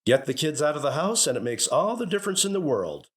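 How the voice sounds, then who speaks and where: An actor playing a gruff but soft-hearted middle-aged father in a radio sitcom, with an American accent and a blustering, exasperated manner. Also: fairly high pitch, big pitch swings, fast. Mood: musing, blustering.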